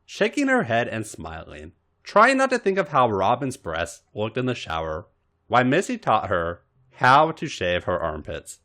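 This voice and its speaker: A man's voice: mid-range voice, wildly swooping pitch, moderate pace, sharp tone. A man hosting a podcast, reading a piece of fan fiction aloud as dramatic story narration.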